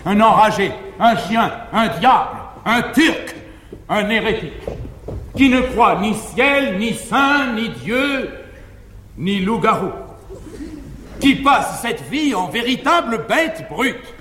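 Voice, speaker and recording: An actor playing a garrulous, fearful but frank male valet, delivering quick, emphatic comic declamation on a 1950s live stage. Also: high voice, lively intonation, a bit bright, slight background noise, exaggerated voice. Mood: mocking, confiding. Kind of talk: classical verse-era stage acting. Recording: echoey 1950s live theatre recording, reverberant hall.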